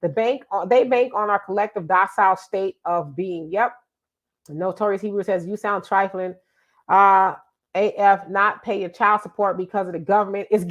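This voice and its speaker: A woman's voice: medium pitch, a bit bright. Congested chatty woman. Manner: chatty, emphatic, repeats herself. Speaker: live show host reading viewer comments. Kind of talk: casual live-stream talk.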